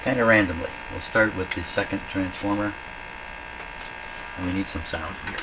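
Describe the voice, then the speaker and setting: Deep voice guy tinkering and thinking out loud, halting, informal repair demo, really noisy humming workshop. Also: fairly even intonation. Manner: thinking aloud while working, halting. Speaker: radio repair hobbyist demonstrating. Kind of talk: informal hands-on repair demonstration. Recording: loud mains hum and workshop noise.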